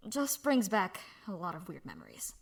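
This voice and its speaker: A woman voicing a young woman speaking softly and haltingly about her past, in a fan audio drama. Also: lowish voice, wildly swooping pitch, gravelly, slightly rough, full character voice. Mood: somber. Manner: soft, halting, confessional. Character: young woman, subdued, candid.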